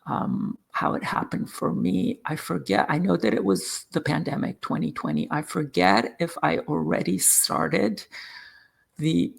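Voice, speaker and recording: Gravelly, animated man. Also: a little high. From Serbia. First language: Serbian. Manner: halting, many fillers and restarts. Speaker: guest, sound healing practitioner. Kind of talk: personal storytelling in conversation.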